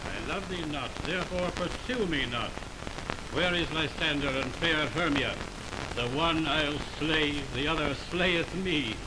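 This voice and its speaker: A man's voice: a little high, a little slow, close to an everyday voice. An actor playing a scornful young man with stern, declamatory diction in 1920s radio Shakespeare. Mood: scornful, impatient. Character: scornful young man rejecting an unwanted admirer. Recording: noisy, hissing 1929 radio aircheck.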